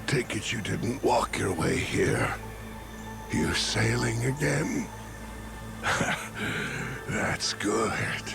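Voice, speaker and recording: An actor playing a dying treasure hunter father, wistful and tender, with a breathy, halting delivery in a dramatic game cutscene. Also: medium pitch, full character voice. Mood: weak, wry. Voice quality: breathy, gravelly. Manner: halting, labored speech. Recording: music under the voice.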